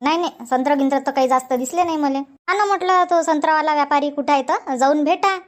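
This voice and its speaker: A high-pitched village farmwife acted by a woman for a comedy cartoon. She speaks rustic Varhadi Marathi, chattering and nagging in a bossy way. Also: quick pace, close to an everyday voice. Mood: chatty. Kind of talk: comic cartoon dialogue dubbing.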